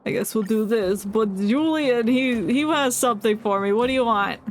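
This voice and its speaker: A woman's voice: a little high, normal speed, faint music behind. A woman streaming a game, playfully commenting and reading the on-screen text aloud in a casual way.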